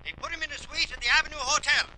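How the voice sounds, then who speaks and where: A man's voice: extremely high pitch, steady tone, very fast, a crowd in the background, rough recording. A man playing a boastful, blustering Irish would-be actor in an old-time radio comedy drama. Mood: excited. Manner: blustering, defensive.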